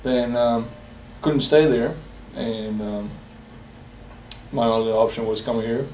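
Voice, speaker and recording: A young man from Bosnia in a personal interview, speaking haltingly with many fillers and restarts. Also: medium pitch, steady tone, warm tone. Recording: roomy echo, distant from the mic, faint hiss.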